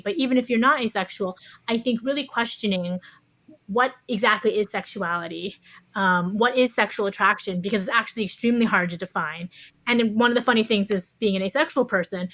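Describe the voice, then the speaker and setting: Woman, podcast guest. Reflective, explanatory, conversational, mid-pitched, very dry recording. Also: fairly bright tone, dry recording. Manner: reflective, explanatory, often says "you know". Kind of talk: thoughtful interview conversation.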